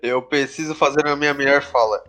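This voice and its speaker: A man's voice: mid-range voice, fairly even intonation, brisk, fairly bright tone, faint music behind. A man chatting casually on a podcast, chipping in with short remarks. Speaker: podcast member and player.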